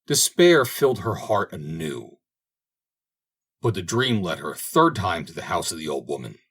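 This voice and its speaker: Husky, animated male storybook narrator. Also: low-pitched, moderate pace. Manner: dramatic, expressive storytelling. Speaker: audiobook reader. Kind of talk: scripted fairy tale narration.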